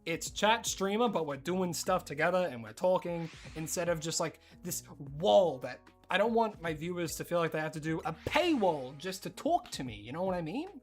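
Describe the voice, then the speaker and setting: Australian streamer, casual gaming chat, rambling and excitable, voice all over the place. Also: normal speed, few pauses, faint music behind. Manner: rambling, excitable, jumps into high squeals of surprise.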